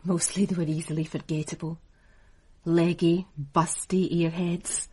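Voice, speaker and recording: A woman's voice: on the low side, little pitch movement, a little slow, dark tone, husky. An actress playing a cool, calculating businesswoman in a radio drama, guarded and seductive.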